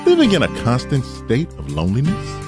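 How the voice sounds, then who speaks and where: A man playing a slick, cheery salesman in an exaggerated parody radio commercial pitch. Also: huge pitch swings, brisk. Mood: salesy. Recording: voice over loud music.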